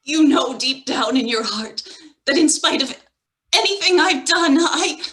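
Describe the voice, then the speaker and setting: A woman's voice: high-pitched, animated, unhurried, a bit bright. A woman playing a manipulative, lovestruck femme fatale in a 1940s-style radio melodrama, imploring and dramatic. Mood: pleading. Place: online video-call read-through.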